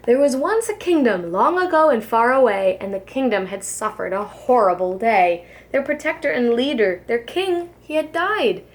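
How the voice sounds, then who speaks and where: A woman, a preschool teacher, reads a children's storybook aloud in an expressive, sing-song rhyming delivery. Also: on the high side, normal speed, hardly any pauses.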